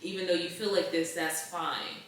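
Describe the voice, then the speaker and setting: A woman's voice: lowish voice, flat delivery, moderate pace, a bit bright. A woman in her late twenties in a casual advice chat, blunt and emphatic, with informal slangy wording. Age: late 20s. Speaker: podcast host. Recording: roomy echo, distant mic, faint noise.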